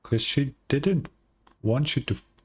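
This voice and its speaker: A man's voice: medium pitch, lively intonation, measured pace, darkish tone, husky. A man casually reacting to a video game as he streams it.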